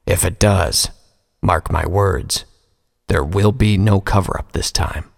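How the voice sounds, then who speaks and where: A man voicing a terse, stern male pony captain in a story, with a gravelly, husky voice. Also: low voice, takes it slowly, big-room sound, heavily acted. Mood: stern warning.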